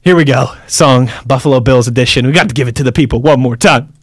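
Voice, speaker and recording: A man co-hosting a sports radio show, joking and bantering quickly and laughing often. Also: mid-range voice, a little hiss or hum. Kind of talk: playful sports show banter, rhyming song.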